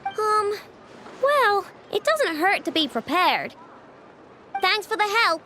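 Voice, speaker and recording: Very high-pitched spirited little girl. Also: big pitch swings, takes it slowly, sharp tone, a little hiss or hum, full character voice. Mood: confident, breezy. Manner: breezy, boastful, coaxing. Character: spirited young girl inventor, stubborn and chatty. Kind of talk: video game voice acting. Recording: background music behind the voice.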